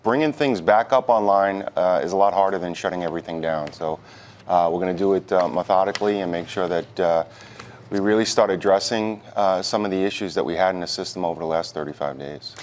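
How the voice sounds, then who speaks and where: Low-pitched man giving halting interview answers. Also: a little hiss or hum. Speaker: union president, interview guest. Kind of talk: live TV news interview answers.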